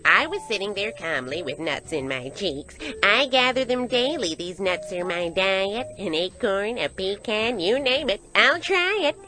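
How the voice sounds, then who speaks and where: A woman's voice: mid-range voice, lively intonation, measured pace, hardly any pauses, sharp tone, soft background music. A woman playing a scatterbrained squirrel witness who rambles in an earnest, folksy way in a rhymed comic story. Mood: chatty, earnest. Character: chatty scatterbrained female squirrel witness, easily sidetracked.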